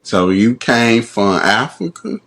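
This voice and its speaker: A man hosting a podcast, talking in a slow, laid-back way with short reactions. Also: medium pitch, sing-song, gravelly, fairly bright tone. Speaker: podcast host. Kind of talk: casual conversation.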